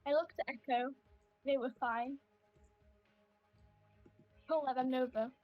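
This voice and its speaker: A woman improvising first-person roleplay for a game, haltingly narrating her own character's actions. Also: high-pitched, fairly even intonation, no room sound, highs cut off, soft background music.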